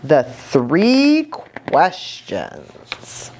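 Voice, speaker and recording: A woman reading a story aloud for young children as a church storyteller, expressive, with chatty asides. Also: low voice, drawn-out words, a little hiss or hum.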